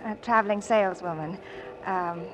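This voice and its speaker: A woman's voice: mid-range voice, normal speed, lightly acted, rough recording. Courteous, slightly hesitant young woman played by an actress, mid-range voice, vintage radio drama acting. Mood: friendly, guarded. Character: polite, guarded young woman, a prisoner on furlough.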